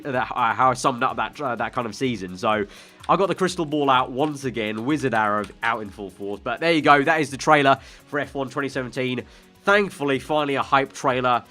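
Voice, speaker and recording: A man's voice: mid-range voice, fairly bright tone. A man giving casual, enthusiastic video game trailer commentary in an informal way, often repeating phrases for emphasis. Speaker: gaming video host.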